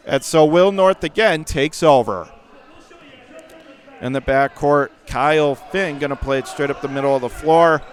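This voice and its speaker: Higher-pitched man calling live basketball play-by-play. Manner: clipped present-tense phrases, rising to excited calls on action. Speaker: play-by-play sports commentator.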